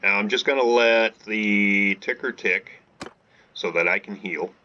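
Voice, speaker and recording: Low-voiced excitable gaming commentator. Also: male voice, a little slow, lo-fi sound. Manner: excitable, talks to viewers, shouts in fights. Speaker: gaming channel host. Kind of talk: live gameplay commentary. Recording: game music behind voice.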